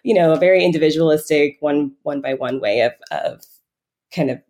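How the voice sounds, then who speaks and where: A woman giving reflective answers as an interview guest, speaking in a halting, conversational way as she works out her thoughts. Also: deep voice, darkish tone. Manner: halting, thinking aloud, frequent hedging with "kind of" and "like". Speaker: podcast guest, financial coach.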